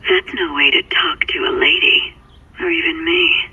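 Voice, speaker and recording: A woman's voice: very high-pitched, wildly swooping pitch, normal speed, bright, forceful tone, full character voice. An actress playing a flirtatious female cat burglar who teases over a phone call, in a sultry, playful way. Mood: teasing. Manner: sultry, teasing. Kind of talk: video game cutscene.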